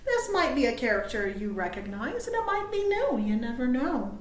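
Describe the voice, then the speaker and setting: A woman reading aloud to small children as a storytime host, cheerful and expressive. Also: high-pitched, normal speed, slight background noise. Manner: cheerful, expressive, asks listeners questions. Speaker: children's librarian hosting storytime. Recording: roomy, echoey sound.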